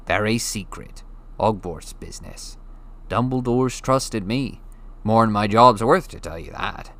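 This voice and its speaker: A man voicing a big, bluff half-giant groundskeeper in a storybook reading, booming and hearty with a rustic way of talking. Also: lively intonation, measured pace, fairly bright tone.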